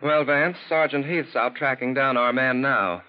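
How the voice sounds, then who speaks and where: Casting: male actor for a no-nonsense prosecutor, medium-pitched, matter-of-fact, faintly exasperated, vintage radio drama. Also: a little slow, close to an everyday voice. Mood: businesslike. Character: brisk, businesslike male legal official, mildly exasperated. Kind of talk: 1940s radio drama acting. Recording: old lo-fi radio drama recording.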